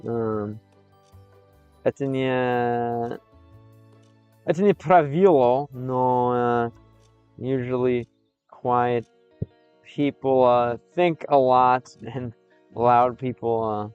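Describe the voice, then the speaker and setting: A young American man chatting with his stream viewers, switching between English and halting Russian, pausing often as he reads the chat. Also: mid-range voice, fairly even intonation, clean tone, no echo, lo-fi sound, soft background music. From United States.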